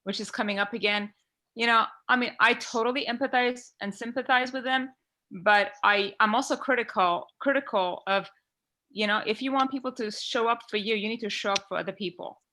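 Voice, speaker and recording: Woman from Iran, podcast panelist, bright tone, heartfelt rambling talker on a video call. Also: on the high side, brisk. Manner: heartfelt, rambling with many fillers. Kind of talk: earnest discussion on a video call.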